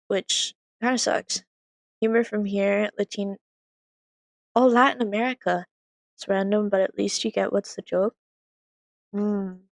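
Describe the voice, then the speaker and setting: A woman's voice: medium pitch, dry recording. A young woman streamer chatting casually while she eats and works, rambling with lots of "like" fillers. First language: French by heritage, English first language. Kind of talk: casual chat while eating and working.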